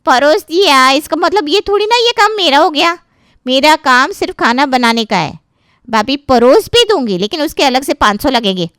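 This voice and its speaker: Woman acting a brash, high-voiced, argumentative hired cook. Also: animated, moderate pace, a bit bright, no echo, clean studio sound. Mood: defiant. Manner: complaining, talking back. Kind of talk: dramatized Hindi family story dialogue.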